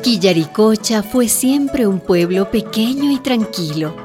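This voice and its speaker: A woman's voice: mid-range voice, expressive, unhurried, music in the background. A woman reading scripted storytelling narration for a radio drama.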